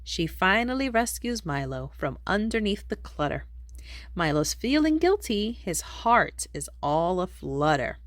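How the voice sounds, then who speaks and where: A woman reading a rhyming children's picture book aloud in an expressive storytelling manner. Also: on the low side, measured pace, dry recording, studio quality. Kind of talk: read-aloud storybook narration in rhyme.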